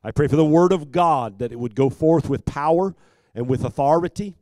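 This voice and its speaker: High-pitched male preacher, dry close sound. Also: warm tone, no echo. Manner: emphatic, deliberate repetition and rhetorical questions. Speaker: pastor, preacher. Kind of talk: sermon. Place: church sanctuary stage at a pulpit. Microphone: handheld microphone held close.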